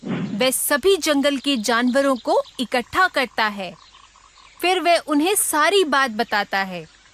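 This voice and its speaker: A woman's voice: high voice, expressive, slow, a bit bright. A woman giving scripted narration of a children's story.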